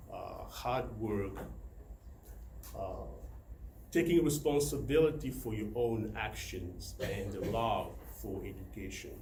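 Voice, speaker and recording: Slow, hesitant man in an echoey room. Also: on the low side. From Democratic Republic of Congo. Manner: hesitant, many filler sounds. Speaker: club member giving a talk. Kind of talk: prepared personal talk to a club. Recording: roomy hall with faint ambient noise.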